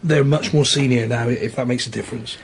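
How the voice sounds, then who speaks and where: A man's voice: mid-range voice, fairly even intonation, brisk, gravelly, slightly rough. An actor playing a plain-spoken, wry male local policeman in a radio drama, matter-of-fact and familiar. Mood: familiar, polite.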